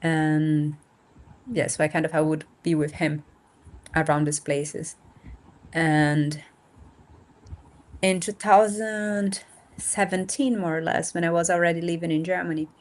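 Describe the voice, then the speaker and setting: A woman in her thirties from Brazil, with Portuguese as her first language, talking casually as a guest on a video call and pausing often to find her words. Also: deep voice, steady tone. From north and northeast Brazil. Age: 30s. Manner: thoughtful, searching for words with fillers. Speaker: guest, nonprofit founder. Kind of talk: casual interview talk. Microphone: remote video call.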